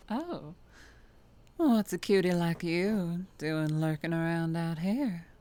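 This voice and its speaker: A woman's voice: lowish voice, mellow. A woman playing a tall, teasing, predatory vampire trucker with a Southern US accent and a flirtatious drawl, in an ASMR roleplay. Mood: teasing, curious. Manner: slow teasing drawl with chuckles and pet names.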